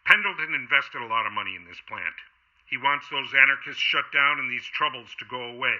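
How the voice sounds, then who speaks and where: An actor playing a domineering small-town mayor, commanding and condescending, through a telephone filter in an audio drama. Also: male voice, medium pitch, animated, brisk, pushed and bright. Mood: demanding. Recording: thin band-limited phone-like sound.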